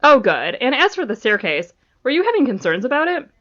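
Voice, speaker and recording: A woman's voice: high voice, big pitch swings, fairly quick, a bit bright, lo-fi sound. A woman playing an officious academic in an audio drama, polite and probing with forced corporate cheer. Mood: officious, upbeat.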